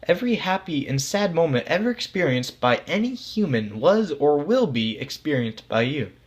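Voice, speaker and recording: A man's voice: a little high, sing-song, normal speed, voice pushed up. A man as a casual, reassuring God. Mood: earnest, explaining. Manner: matter-of-fact, patient explaining. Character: God as a casual, reassuring, vague authority figure. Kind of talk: acted storybook dialogue.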